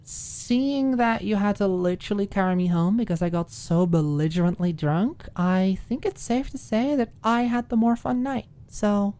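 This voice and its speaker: A woman voicing a prim, expressive college student, articulate and easily exasperated, in an audiobook. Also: mid-range voice, normal speed, few pauses, pitched above the performer's own voice, natural voice. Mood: playfully smug.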